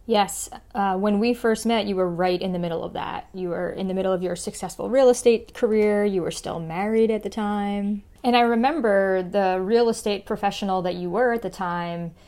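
A woman with a mid-pitched voice leads the conversation and affirms her guest. She speaks in a conversational way. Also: moderate pace, hardly any pauses, dark tone. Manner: affirming, recalling past together. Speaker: interviewer. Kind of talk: conversational interview.

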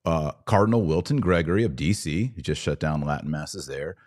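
Casting: American male podcast host with a very low voice, doing conversational religious commentary with a dry, sardonic streak. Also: fairly quick, a little mellow.

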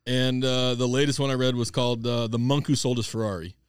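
A man's voice: medium pitch, fairly even intonation, brisk, smooth, no room sound, clean studio sound. A man chatting casually in podcast banter.

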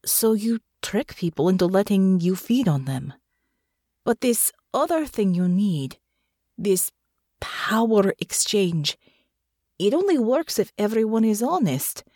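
Woman acting a soft-spoken, earnest farm woman. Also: medium pitch, very animated, dry recording, studio quality. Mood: calm, probing. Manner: soft, careful, deliberate, formal phrasing. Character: gentle, earnest young farm woman with foreign speech. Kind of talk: audiobook character dialogue.

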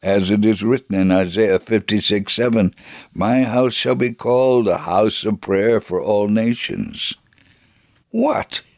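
A man's voice: on the low side, unhurried, darkish tone, dry recording, studio quality. A man reading a first-person story in a dramatic, scripted storytelling style, acting out the dialogue expressively. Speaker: narrator, reader of a radio story segment.

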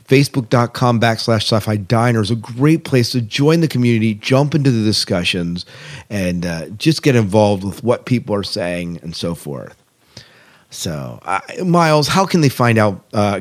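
A man co-hosting a podcast in a casual, chatty style about science fiction. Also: mid-range voice. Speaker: podcast co-host.

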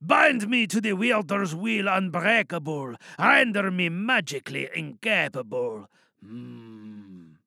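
A man performs an ancient, brooding troll of the mountain in an audio drama. The voice is high and gravelly, very bright and forceful. It declaims its rhymes with grand, wounded menace. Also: very animated, dry recording, clean studio sound, exaggerated voice. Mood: brooding, aggrieved. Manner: declamatory rhymed verse, drawn-out words. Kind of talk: theatrical audio drama monologue.

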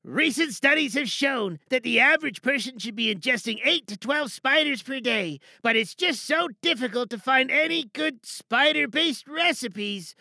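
A woman's voice: sits high, animated, moderate pace, gravelly, few pauses, bright, forceful tone, no room sound, exaggerated voice. A woman playing a small, cheerful, meek helper in an audio drama monologue, hesitant and apologetic, eager to please as they read out an advert. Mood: dutiful presenting. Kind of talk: monologue acting with stilted advert reading.

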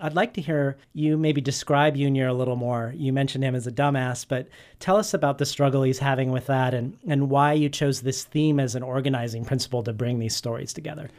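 A man's voice: medium pitch, steady tone, brisk, hardly any pauses, a little mellow. A man interviewing an author, asking long prepared questions in an earnest way with frequent restarts.

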